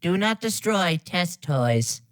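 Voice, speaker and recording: A woman's voice: on the low side, animated, slow pace, no echo, studio quality. A woman voicing a polite female computer assistant with stilted, formal announcements and a synthetic text-to-speech sound, as in a game. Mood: formal, detached. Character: polite female computer assistant giving garbled test instructions.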